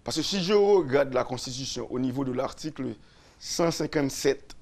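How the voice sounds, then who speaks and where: A man, a lawyer and radio guest, explaining constitutional articles slowly, repeating and restarting phrases. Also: medium pitch, animated, darkish tone. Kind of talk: explaining constitutional law on a radio talk show.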